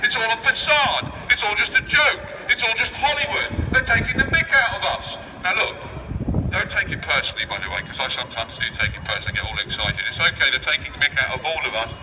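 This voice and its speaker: A distant, noisy outdoor recording of a man with a high-pitched voice speaking off the cuff through a megaphone. He works the street like a protester, cheeky and provocative, throwing rhetorical questions at whoever is passing. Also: fast. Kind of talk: improvised street speech and banter with passers-by. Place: outdoors on a busy city street. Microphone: megaphone outdoors, picked up by a camera at a distance.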